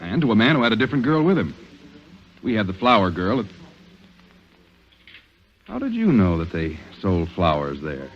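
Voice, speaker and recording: Skeptical blunt detective, very lively intonation, golden-age radio drama, muffled recording. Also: male voice, fairly low pitch, moderate pace, pauses often. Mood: suspicious. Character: male police investigator, skeptical, blunt and protective. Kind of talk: 1940s radio drama acting. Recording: muffled, faintly noisy vintage radio recording.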